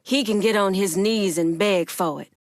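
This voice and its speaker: An actress voicing a proud, commanding woman crime boss in a video game, with a firm, deliberate and authoritative delivery. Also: medium pitch, dry recording, clean studio sound, lightly acted. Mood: angry, defiant.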